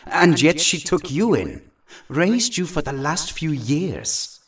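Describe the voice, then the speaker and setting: A wry, gentle young mutant hero played by a man in an audio drama. His voice is fairly high and swings widely in pitch, with slow delivery, slightly off-mic. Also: very animated, far from the mic, full character voice. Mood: subdued, reflective.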